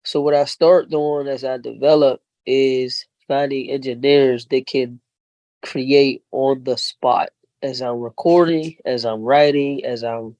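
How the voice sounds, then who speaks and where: A woman's voice: extremely low pitch, steady tone, slow, dark tone, dry recording, muffled recording. A woman rapper talking as a guest in a casual podcast interview, laid-back and slangy, often saying "you know what I'm saying".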